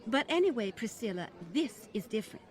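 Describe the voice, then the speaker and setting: A woman playing a genteel older lady, high voice, prim, condescending, fluttery politeness, old-time radio drama acting. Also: very animated, fairly quick, faint music behind. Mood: anxious, disapproving. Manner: prim, fluttery, affected politeness with flustered repetition.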